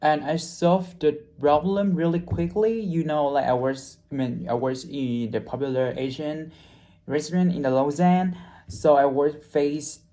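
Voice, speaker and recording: Young man with dark, mellow tone, halting. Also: fairly high pitch. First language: not English. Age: young adult. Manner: halting, frequent restarts and fillers, searching for words. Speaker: hospitality trainee. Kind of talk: unscripted personal video reflection.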